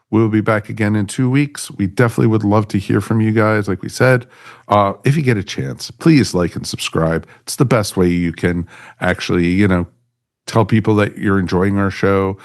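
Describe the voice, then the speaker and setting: A man hosting a podcast in casual, chummy chat, addressing everyone like friends. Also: lowish voice. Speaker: podcast host.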